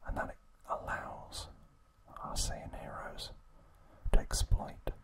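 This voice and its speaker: Slow, whispering male ASMR narrator. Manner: slow, hushed, unhurried trivia recap delivery. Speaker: ASMR video host.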